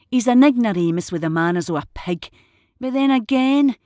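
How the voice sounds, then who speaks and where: A woman's voice: medium pitch, no room sound, clean studio sound, lightly acted. An actress playing a blunt but tender, protective older mother figure with a Glaswegian accent in an audio drama. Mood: scornful. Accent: Glaswegian Scottish.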